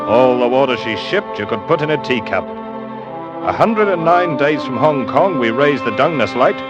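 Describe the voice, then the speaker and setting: A man playing a watchful seaman who narrates a classic radio drama with deliberate, weighted pauses. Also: medium pitch, highs cut off, close to an everyday voice. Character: weathered, watchful seaman recounting his voyages. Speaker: narrator and character actor. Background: music.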